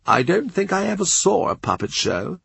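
A man's voice: high-pitched, big pitch swings, moderate pace, gravelly, a bit bright, slightly rough, no room sound, voice pushed up, full character voice. A man voicing a frail, elderly male bishop who speaks mildly and sadly in his own defence. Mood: mild, earnest. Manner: mild, pleading, sad. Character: frail old male bishop, mild and stubborn. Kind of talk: audiobook character reading.

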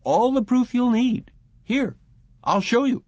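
A man voicing a smooth, persuasive middle-aged salesman who talks emphatically and genially. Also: very high-pitched, animated, slow pace, a little mellow, no room sound, muffled recording, pitched above the performer's own voice, full character voice.

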